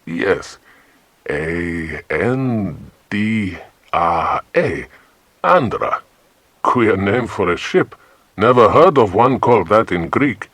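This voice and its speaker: A man voicing a learned, aloof uncle in an audio storybook, measured and pedantic. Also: on the low side, expressive, a bit bright, no echo, full character voice. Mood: puzzled. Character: learned, aloof adult uncle examining an antique.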